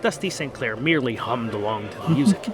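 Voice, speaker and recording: Guy narrating a funny fantasy audiobook, wry and dramatic, normal pitch, music behind him. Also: animated, a little fast. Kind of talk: scripted comic fantasy narration.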